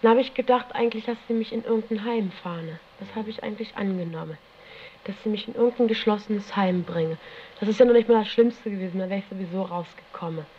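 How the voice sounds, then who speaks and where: A woman's voice: medium pitch, quick pace. Teenage female, slangy casual storytelling, dull old tape recording, faint noise. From Germany. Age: teens. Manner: colloquial, slangy, frequent tag questions. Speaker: interviewee recounting own experience. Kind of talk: casual spoken recollection. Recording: dull, noisy 1970s tape.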